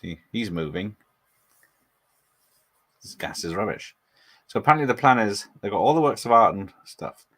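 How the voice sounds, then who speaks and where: Man, lowish voice, rambling movie-night commentary, chatty, laughs a lot. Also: animated, normal speed, long pauses, fairly bright tone. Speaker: watch party host. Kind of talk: casual live movie commentary.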